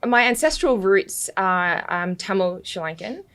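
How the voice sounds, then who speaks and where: A woman's voice: mid-range voice, animated, unhurried, fairly bright tone. A woman with an Australian accent touched by British, chatting as a podcast guest, laughing often and leaning on "you know.". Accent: Australian, slightly mixed with British. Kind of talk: casual interview chat.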